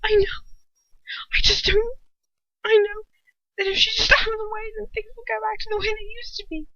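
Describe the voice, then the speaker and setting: A woman playing a jealous, spurned witch in an audiobook-style story, pleading and raging in shrill, scornful outbursts. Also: little pitch movement, moderate pace, sharp tone, no room sound. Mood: bitter pleading. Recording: dull lo-fi home recording.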